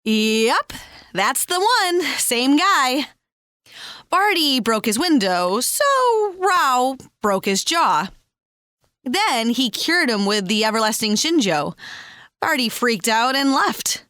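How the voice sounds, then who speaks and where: A woman's voice: fairly high pitch, big pitch swings, slow pace, bright, forceful tone, dry recording, studio quality, heavily acted. A woman playing a laid-back traveler confiding in a friend, in a casual, conversational audio drama style. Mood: quietly concerned.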